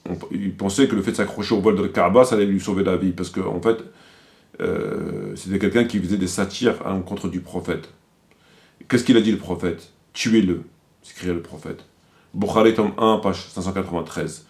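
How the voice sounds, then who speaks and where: A man's voice: deep voice, fairly even intonation, moderate pace, vocal fry, a little mellow. A man delivering critical commentary, quoting sources didactically and repeating his points for emphasis.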